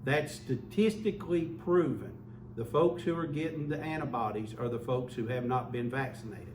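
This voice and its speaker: A man's voice: mid-range voice, moderate pace. A man giving an earnest public health update as a local official, often repeating words as he restarts. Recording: echoey room, mic far off, faint hum.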